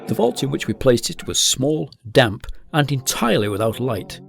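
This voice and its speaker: A man acting a solemn, melancholy gentleman narrator in a literary gothic radio drama. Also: mid-range voice, very animated, moderate pace, gravelly, soft background music. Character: melancholy gentleman narrator recalling his friend's descent into madness.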